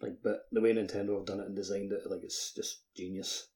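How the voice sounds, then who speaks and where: A man's voice: fairly low pitch, level tone, fairly quick, darkish tone. A man co-hosting a casual gaming podcast, talking enthusiastically in choppy phrases full of filler words. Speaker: podcast co-host.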